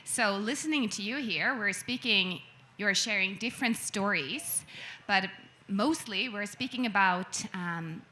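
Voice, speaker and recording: A woman's voice: medium pitch, measured pace, sharp tone, a little hiss or hum. A woman from Sweden co-hosting a panel discussion, speaking in a reflective way and rephrasing her questions as she goes. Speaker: panel co-host. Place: event venue with an audience.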